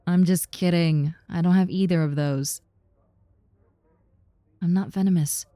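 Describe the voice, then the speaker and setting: Casting: actress for young female lamia roommate in audio roleplay. Slightly low, warm dark tone, casual, teasing, reassuring, harmless gentle giant. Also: steady tone, a little slow, dry recording, studio quality, natural voice. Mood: friendly, joking. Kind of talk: roleplay audio with personal attention, conversational acting.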